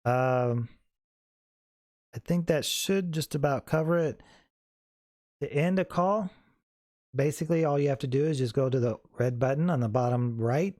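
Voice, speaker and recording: A man with a soft-edged, mellow voice at an average pitch hosts an informal how-to. He explains things in an easygoing, unscripted way. The sound is close and dry, with very little room in it. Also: normal speed, long pauses, no room sound. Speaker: host of a how-to tutorial. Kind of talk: casual, unscripted software tutorial.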